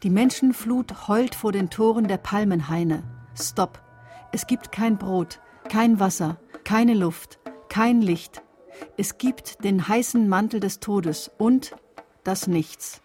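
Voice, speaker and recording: A woman reciting poetry in a radio drama production, measured and delivered in short deliberate phrases. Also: mid-range voice, mellow, close to an everyday voice. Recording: music behind the voice. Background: music.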